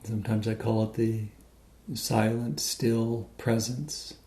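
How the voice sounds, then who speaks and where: A man leading a guided meditation in a gentle, unhurried way with many pauses. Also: on the low side, level tone. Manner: slow, pausing, gentle delivery.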